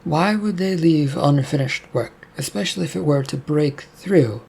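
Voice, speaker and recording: A man's voice: on the high side, normal speed, vocal fry, darkish tone, slightly rough. A man voicing a female paranormal investigator who asks probing questions. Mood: curious.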